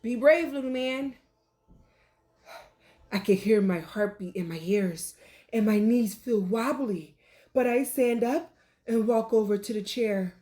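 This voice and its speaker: A woman reading a picture book aloud like a teacher, with animated, deliberate delivery and questions for young listeners. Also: medium pitch. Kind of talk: expressive children's read-aloud with questions to listeners.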